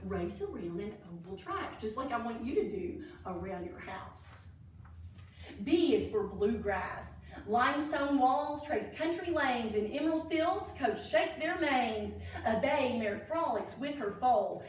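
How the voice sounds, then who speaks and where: Female children's story reader: high pitch, expressive delivery, heavy room echo. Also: slight background noise. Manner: animated, expressive, addressing children. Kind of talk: read-aloud for children with explanatory asides. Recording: echoey room, distant mic.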